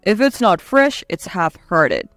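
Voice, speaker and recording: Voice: mid-range voice, sing-song, takes it slowly, fairly bright tone, no echo, heavily acted. A performer voicing a domineering, irritable husband in a narrated story, scolding and commanding with contempt. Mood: angry, scolding. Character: domineering, irritable, sneering husband berating his wife. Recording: dry close voice over faint music.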